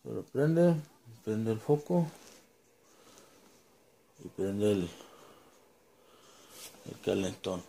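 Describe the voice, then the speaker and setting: Mellow-voiced Mexican man, casual and halting. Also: lowish voice, very animated, gravelly, a little hiss or hum. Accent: Mexican Spanish. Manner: informal, halting, searching for words, mixing in English. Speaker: home vlogger demonstrating gear. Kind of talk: casual unscripted demonstration.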